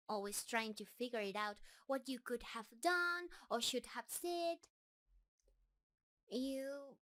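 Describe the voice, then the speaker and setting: Woman playing female spider hybrid, high voice, very slow pace, gentle, nervous, adoring, softly obsessive, intimate audio roleplay. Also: exaggerated voice. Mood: fond, earnest. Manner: gentle, nervous, dreamy, unhurried.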